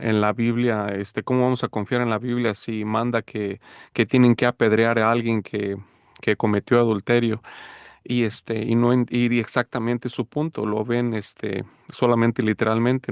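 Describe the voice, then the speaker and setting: A man hosting a Bible podcast, hesitant, often filling pauses with "este". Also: fairly low pitch, creaky, clear, resonant tone, dry recording, clean studio sound. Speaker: podcast host. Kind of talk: moderating discussion.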